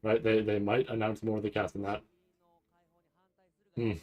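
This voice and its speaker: Nervous guy reacting live, kinda low voice, rambles and stutters over his words, super casual. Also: flat delivery, lo-fi sound. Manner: rambling, halting, repeats words; anxious, nervous anticipation. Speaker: anime commentator reacting to a livestream event.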